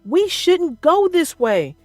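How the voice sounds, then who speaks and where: A woman's voice: very high-pitched, very animated, slow pace, no echo, soft background music, voice pushed up, heavily acted. A woman voicing a cautious, earnest male brake car in a children's storybook, speaking carefully and precisely. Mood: cautious warning. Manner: careful, precise, matter-of-fact.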